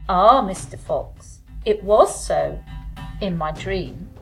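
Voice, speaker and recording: A woman playing a bold young gentlewoman in a folk tale, speaking in formal, theatrical, old-fashioned diction. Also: on the low side, animated, slow pace, gravelly, soft background music, full character voice, rough recording. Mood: pointed, suspenseful. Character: bold young gentlewoman bride recounting a sinister dream.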